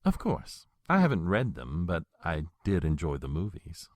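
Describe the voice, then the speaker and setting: An actor playing an old, courtly and menacing male vampire in an audio drama, speaking with a suave, husky voice. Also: low voice, normal speed, dry recording. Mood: silky. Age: older. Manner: suave, flattering, then threatening.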